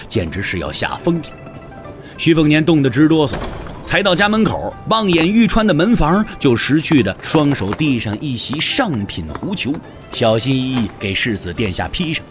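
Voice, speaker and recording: A male narrator tells a wuxia story in a slightly high, hugely varied voice. It is scripted dramatic storytelling over faint music.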